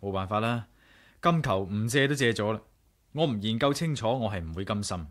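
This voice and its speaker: A man's voice: fairly low pitch, expressive, a little fast, lightly acted. A man with a Hong Kong Cantonese accent playing a curious, decisive adventurer in a 1980s radio drama. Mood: serious, curious. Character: determined, curious male adventurer, brooding and decisive.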